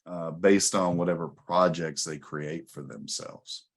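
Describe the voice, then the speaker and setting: Low-voiced man giving an academic presentation. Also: fairly even intonation, measured pace. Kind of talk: conference presentation, explanatory lecture.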